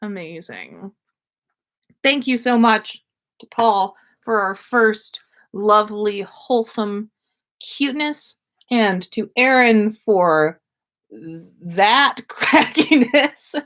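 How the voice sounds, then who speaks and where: A woman's voice: fairly high pitch, fairly bright tone. A woman hosting a livestream in casual chat, rambling and effusive, with slangy talk and free swearing. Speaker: podcast host.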